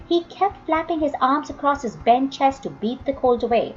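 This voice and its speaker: A woman reading a story aloud as an expressive audiobook narrator. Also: high-pitched, normal speed, highs cut off.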